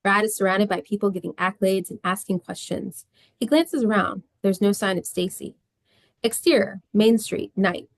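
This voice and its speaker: A woman narrates scripted stage directions in a mid-range voice with noticeable vocal fry. The recording is close and dry, with no room sound. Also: medium pitch, moderate pace, dry recording.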